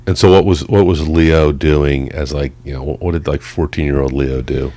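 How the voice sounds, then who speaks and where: A man's voice: a bass voice, steady tone, normal speed, warm tone. A man conducting a casual interview as a company boss, in an informal, chummy way. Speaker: company president interviewing an employee.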